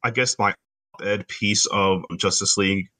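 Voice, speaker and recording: Bright-voiced Haitian-accented man. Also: fairly low pitch, steady tone, measured pace. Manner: enthusiastic, explains at length. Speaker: podcast co-host. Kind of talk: casual chat.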